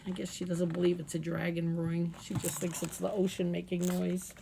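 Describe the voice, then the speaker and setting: A woman reading a children's picture book aloud as a storytime reader, expressive and playful, adding chatty asides and questions for the listeners. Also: lowish voice, a little fast, gravelly, slight background noise.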